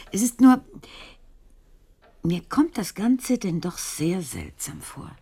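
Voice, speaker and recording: An actress playing a concerned, inquisitive Victorian woman in a German radio play, with an expressive, questioning and musing delivery. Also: low-pitched, breathy edge, exaggerated voice.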